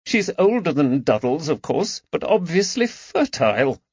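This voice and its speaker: A man voicing a distressed aristocratic woman in her forties who is prone to drama, in a high, trembling, theatrical voice. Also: big pitch swings, measured pace, a little mellow, husky, no room sound, heavily acted. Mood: bitter, distressed. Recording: slightly dull dry recording.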